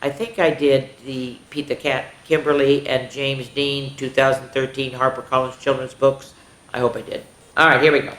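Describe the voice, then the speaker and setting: A woman's voice: rumbling low, level tone, moderate pace, fairly bright tone. A woman hosting a children's story time, reading aloud with enthusiasm and chatty asides, stumbling now and then. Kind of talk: animated read-aloud for young children. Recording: roomy, echoing room sound.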